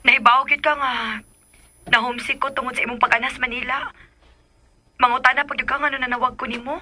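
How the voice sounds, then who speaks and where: A woman's voice: sits high, fairly even intonation, brisk, sharp tone, full character voice. An actress playing a hurt, clingy woman in unrequited love in a radio drama. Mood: hurt, reproachful.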